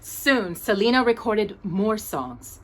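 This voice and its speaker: A woman with a slightly husky voice reads a children's picture book aloud as a storytime host, stressing key words expressively. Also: mid-range voice. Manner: animated, emphatic storytelling with pauses on key words.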